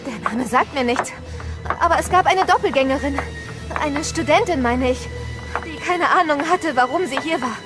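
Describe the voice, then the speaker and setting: An actress playing a tough, urgent witness on the run in a German audio drama, speaking tersely. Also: female voice, high-pitched, moderate pace, music in the background, lightly acted. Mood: tense, urgent. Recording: clearly noisy ambient effects behind voice.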